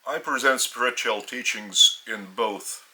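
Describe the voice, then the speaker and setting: Slow, bright-voiced Lithuanian-accented male teacher. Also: medium pitch, poor audio. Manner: slow, deliberate, measured phrases with long pauses. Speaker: spiritual teacher. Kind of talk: solemn spiritual teaching monologue.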